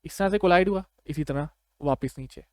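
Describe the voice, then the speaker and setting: A man's voice: fairly high pitch, fairly quick, a little mellow, no echo, clean studio sound. A man with a Pakistani accent giving an informal step-by-step tutorial, conversational and often checking that the listener follows. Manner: conversational, checks understanding with short tag questions. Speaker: programming tutor.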